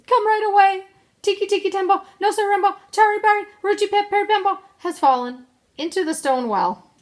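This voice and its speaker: A woman's voice: very high voice, fairly quick, voice pushed up. A woman voicing a frantic, earnest little boy who gasps and calls out urgently in a storybook reading. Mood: shouting, desperate. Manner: shouting, gasping, urgent.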